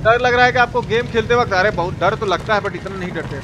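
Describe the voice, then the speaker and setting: Man putting on a high-pitched rustic Bihari uncle. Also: fast, background noise, natural voice. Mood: excited, regretful. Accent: eastern Hindi, Bihari. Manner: colloquial, exclaiming, crude slang. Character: Chacha, folksy rustic Indian uncle, excitable and jokey. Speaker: live game streamer. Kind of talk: live horror game commentary with chat replies.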